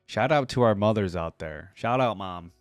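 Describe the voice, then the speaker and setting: A man's voice: on the low side, moderate pace, dry recording, soft background music. A young man hosting a reaction video, commenting in casual, slangy bursts with short interjections.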